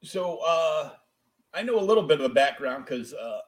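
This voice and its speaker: A man hosting a podcast, asking casual questions in an informal, halting way. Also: fairly high pitch, sing-song. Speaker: podcast host, interviewer. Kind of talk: casual interview chat.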